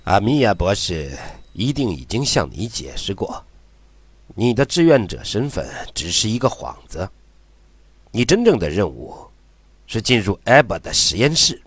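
An actor playing a stern military commander in an audio drama, husky and emphatic. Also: male voice, on the low side, huge pitch swings, unhurried, a bit bright, no echo, heavily acted. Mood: stern, commanding.

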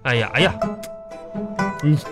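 A man's voice: mid-range voice, expressive, measured pace, natural voice. A man with a northern Chinese accent playing an exasperated, blustering father who sputters and repeats himself in a comedic radio drama. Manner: sputtering, repeats words when flustered. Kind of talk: live comedic radio drama, earthy and colloquial. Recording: music playing behind.

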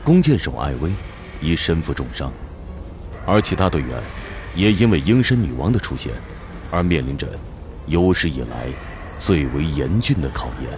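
A man's voice: extremely low pitch, big pitch swings, moderate pace, background noise, heavily acted. A man playing a solemn storyteller who announces and narrates a fantasy audio drama in a grave, theatrical way.

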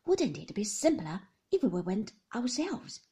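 A cool, measured gentleman, unhurried and controlled, with a husky, gravelly voice, as a woman narrator reads him. His pitch moves in lively curves. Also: full character voice.

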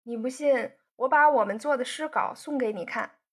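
A woman reading a storybook voices a spoiled young rich man who teases his sweetheart with easy banter. The tone sits fairly high with a husky, breathy edge. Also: moderate pace, a bit bright. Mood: teasing. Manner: bantering, coaxing. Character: teasing young man, playful rich son.

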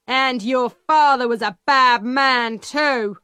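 Woman voicing a surly fat male peddler, slow and raised in pitch, dry close recording. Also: sharp tone, no echo, exaggerated voice. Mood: hostile. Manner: slow, gruff, hostile. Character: fat grumpy middle-aged male peddler.

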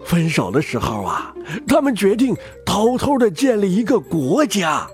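A man narrating a children's story in an expressive manner. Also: sits high, unhurried. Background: music.

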